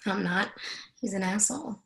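Woman acting blunt, flirtatious political wife, creaky voice. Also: medium pitch, moderate pace, close to an everyday voice. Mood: bitter, resolved. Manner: assertive, teasing, profane. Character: Helen, blunt, flirtatious senator's wife, confident and jaded. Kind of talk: dramatic screenplay table read.

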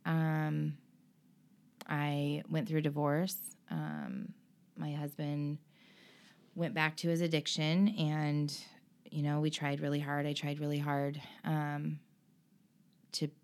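A woman guest in an interview, speaking earnestly and emotionally about her own experience, with frequent pauses and restarts. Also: low-pitched, a little mellow. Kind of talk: candid personal interview.

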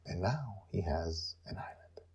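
A man's voice: low-pitched, animated, unhurried, darkish tone, breathy edge, highs cut off. A man hosting and telling a story in a theatrical, emphatic way, with asides to his listeners. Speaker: storyteller and host.

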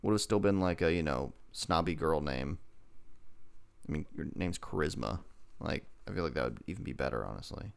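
A man with a very low voice that has some fry in it yet sounds clean and resonant. He talks casually as a podcast co-host, rambling and joking as he reviews TV. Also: lively intonation, a little fast. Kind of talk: casual TV episode review chat.

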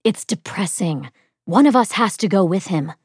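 A woman's voice: medium pitch, lively intonation, moderate pace, darkish tone, slightly rough, no echo, voice pushed up. Lady reading as a pushy brother, persistent, coaxing, matter of fact, audiobook, really dry sound. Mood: insistent, impatient. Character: Asher, insistent, coaxing brother, a family man.

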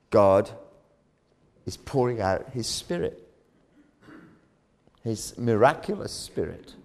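A man preaches with a dramatic storytelling delivery, swelling louder on key phrases before sinking back down. His voice sits low and he takes his time, letting words hang. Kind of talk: sermon storytelling.

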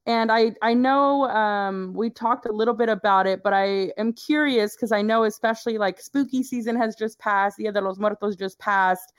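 Woman host recording at home, smooth voice, super dry sound, very encouraging. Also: medium pitch, moderate pace, hardly any pauses, dry recording. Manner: affirming, encouraging. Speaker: podcast host. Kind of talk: casual interview chat. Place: home booth.

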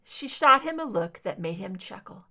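A woman's voice: medium pitch, sing-song, normal speed, creaky, sharp tone. A woman reading scripted fiction aloud as an audiobook narrator. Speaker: amateur audiobook reader.

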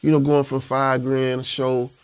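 A man's voice: completely flat delivery, normal speed, smooth. A man, a rapper guest speaking in a laid-back, reflective way. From Panama City, Florida. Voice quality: high-pitched voice. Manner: laid-back, reflective, repeats "you know what I'm saying". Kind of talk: casual interview chat.

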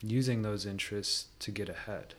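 A man speaking as an interview guest, giving measured, opinionated and analytical commentary in conversation. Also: lowish voice, fairly bright tone.

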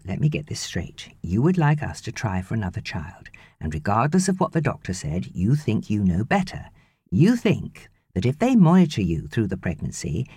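A woman's voice: very deep voice, darkish tone, breathy edge, no echo, full character voice. A woman voicing an earnest, loving husband and father in an audiobook, pleading and persuading emphatically. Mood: controlled anger.

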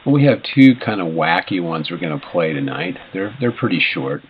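A man's voice: low-pitched, animated, normal speed. A man hosting a live radio show in a casual, rambling, jokey way, with hesitations and frequent chuckles. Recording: dull lo-fi radio sound, clear background noise.

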